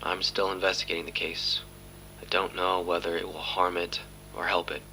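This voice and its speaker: An actor playing a weary, brooding police detective hesitantly recording confessional case tapes in an audio drama, on a noisy tape with hum. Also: male voice, low-pitched, little pitch movement, moderate pace, pushed and bright, exaggerated voice. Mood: grim, weary.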